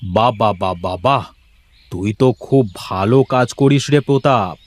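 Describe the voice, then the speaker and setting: A man's voice: lowish voice, normal speed, no echo, natural voice. A man voicing a bossy, evasive village landowner in a cartoon, with a casually commanding, drawling manner. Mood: pleased. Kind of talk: Bengali moral-story cartoon voice acting.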